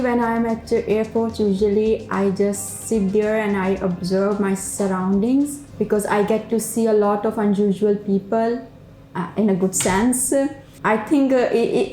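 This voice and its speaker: A woman's voice: medium pitch, darkish tone. Pakistani woman, reflective, roomy sound. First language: Urdu. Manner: reflective, unhurried, with pauses and fillers. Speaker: PhD researcher. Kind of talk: personal documentary narration. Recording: roomy with music underneath.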